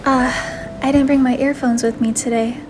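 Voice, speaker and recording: High-voiced shy, curious young heroine, acted by a woman. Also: fairly even intonation, moderate pace, background noise, natural voice. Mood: hesitant, polite. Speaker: voice of the player heroine, fan narration. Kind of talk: acted visual novel dialogue and inner monologue.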